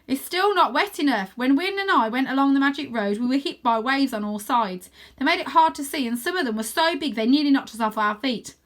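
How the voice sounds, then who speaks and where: A woman's voice: high-pitched, expressive, brisk, hardly any pauses, fairly bright tone, voice pushed up. A woman voicing an earnest young boy adventurer in a storybook reading, speaking emphatically. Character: earnest boy adventurer narrating his quest.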